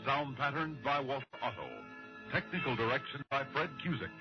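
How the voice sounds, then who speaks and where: A man's voice: medium pitch, fairly quick, lightly acted. An American man announcing credits in 1960s radio style. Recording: dull old radio recording, loud music behind.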